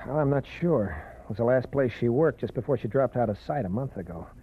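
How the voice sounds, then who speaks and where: A man's voice: medium pitch, animated, fairly quick, breathy edge. An actor in his twenties playing a beaten-up, earnest young man who talks wearily. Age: 20s. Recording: dull lo-fi old radio broadcast.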